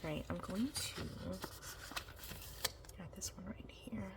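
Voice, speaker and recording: A woman's voice: on the low side, animated, slow, whispered. A woman vlogger chatting casually through her planner decorating, nasal from congestion and sick, her voice starting to give out. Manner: chatty, meandering, full of ums and you-knows. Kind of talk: casual plan-with-me chat.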